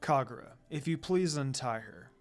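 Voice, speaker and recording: A man voicing a cold, scheming male villain with a stern, measured way of talking, in a story reading. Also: medium pitch, animated, faint music behind, pitched below the performer's own voice, close to an everyday voice.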